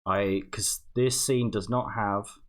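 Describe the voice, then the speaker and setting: A livestream host chatting casually, in a halting, self-deprecating way. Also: deep voice, steady tone. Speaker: stream host.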